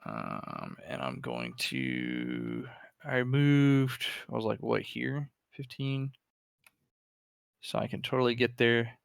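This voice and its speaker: A man's voice: medium pitch, big pitch swings, takes it slowly, gravelly, clean tone, no room sound, studio quality. A man chatting casually as a player in a tabletop game, thinking aloud and repeating his words as he works out a move.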